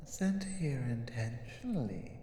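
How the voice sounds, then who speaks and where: A woman playing a powerful, haughty, suspicious giantess sorceress in an ASMR roleplay, speaking deliberately and commandingly, sometimes dropping toward a whisper. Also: a bass voice, animated, dark tone, a little hiss or hum. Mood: quiet, musing. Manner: slow, deliberate, commanding, with breathy drops into whispers. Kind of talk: ASMR roleplay, dramatic monologue.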